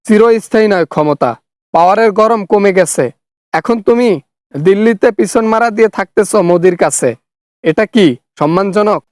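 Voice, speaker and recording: A man's voice: high voice, moderate pace, darkish tone, smooth, dry recording, clean studio sound. A man with a Bangladeshi accent hosting a satirical cartoon skit, speaking in a scolding, sarcastic way. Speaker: parody channel host, accuser in skit.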